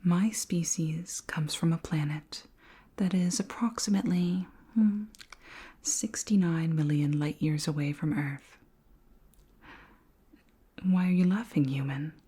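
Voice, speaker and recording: A very breathy, whispering alien queen, acted by a woman in an ASMR roleplay. She is curious, polite and regal, speaking slowly and formally in a low-pitched, soft voice. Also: exaggerated voice. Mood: confiding, playful, then curious, puzzled. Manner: slow, formal, deliberate questioning.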